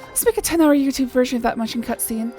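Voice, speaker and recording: A woman's voice: high voice, fast, mellow. A woman casually chatting and rambling while playing a video game as streamer. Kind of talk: casual let's-play commentary. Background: game music.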